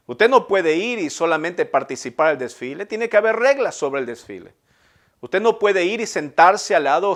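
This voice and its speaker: A middle-aged man from Ecuador with a Guayaquil Spanish accent, preaching a sermon as pastor with emphatic repetition and rhetorical questions. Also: high-pitched.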